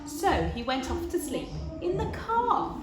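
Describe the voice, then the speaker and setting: A woman reads a children's story in a high voice. Her delivery is expressive and unhurried, rising and falling widely. The room sounds echoey. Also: over music. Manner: deliberate, animated storytelling. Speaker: story reader.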